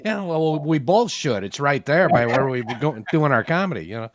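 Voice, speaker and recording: A man's voice: on the high side, lively intonation, moderate pace, no echo. A man co-hosting a podcast, chatting casually and rambling with lots of "you know" fillers. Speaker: podcast co-host. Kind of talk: casual chat.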